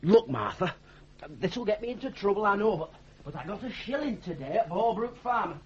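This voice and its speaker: An actor in a radio drama playing a meek, kind-hearted, bumbling grown son who stammers hesitantly and apologetically. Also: male voice, high-pitched, sing-song, moderate pace. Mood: hesitant, kindly. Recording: dull, noisy old radio recording with hum.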